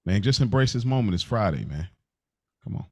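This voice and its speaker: Male co-host, very low voice, earnest conversational delivery, dry close recording. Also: expressive, brisk, a little mellow, no room sound. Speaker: podcast co-host. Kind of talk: casual podcast conversation.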